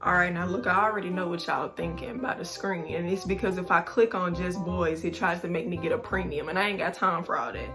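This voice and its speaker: A woman's voice: lowish voice, a little fast, hardly any pauses, over music. A young woman from Mississippi, chatting casually and with a lot of slang to her viewers in a vlog, filmed on a phone indoors at a relative's house. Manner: slangy, lively, talks straight to viewers. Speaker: YouTuber.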